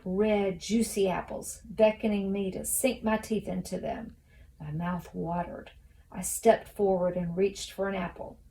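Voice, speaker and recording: A woman reading a children's novel aloud as expressive storybook narration. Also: medium pitch, poor audio.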